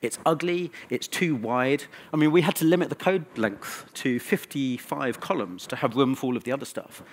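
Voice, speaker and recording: A man speaking as a conference presenter in a technical talk, with a wry, opinionated manner and emphatic pauses. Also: mid-range voice.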